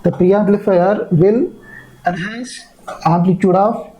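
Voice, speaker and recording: A man teaching in an explanatory lecture style, with a halting, repetitive delivery. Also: sits high, dull audio, slight background noise.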